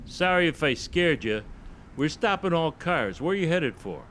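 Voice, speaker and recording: An actor playing a brisk, suspicious state trooper questioning a motorist in an old radio drama. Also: male voice, on the high side, sing-song, a bit bright, a little hiss or hum. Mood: businesslike. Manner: curt, probing, official.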